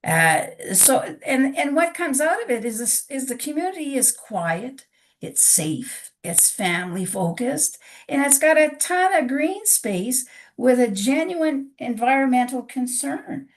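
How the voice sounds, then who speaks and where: Woman, hoarse scratchy voice, unwell, earnest, halting, frequent restarts, council meeting public comment. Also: sits high, big pitch swings. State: hoarse from illness. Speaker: resident speaking to town council.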